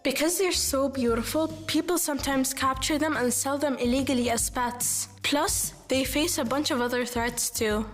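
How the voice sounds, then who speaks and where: A young woman from the UAE co-hosts a podcast with real enthusiasm. Her voice is high, and faint music plays behind her. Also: moderate pace, few pauses.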